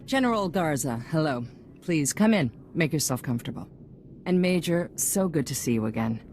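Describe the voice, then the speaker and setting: A woman's voice: deep voice, animated, moderate pace, darkish tone, a little hiss or hum. An actress playing a poised, self-assured female head of a galactic government in a video game, with a stately, polished political delivery. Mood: gracious, formal. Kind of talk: video game cutscene voice acting.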